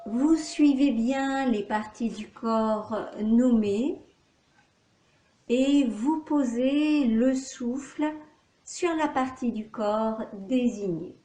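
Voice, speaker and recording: Very slow, echoey woman guiding relaxation. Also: a little high. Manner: calm, measured instructions with long pauses. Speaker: yoga nidra teacher. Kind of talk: guided relaxation meditation. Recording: echoey room.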